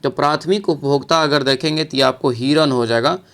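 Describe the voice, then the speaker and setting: A man's voice: a little high, fairly quick, fairly bright tone. A man teaching an exam prep lesson, reading out quiz questions and answers and repeating them for emphasis.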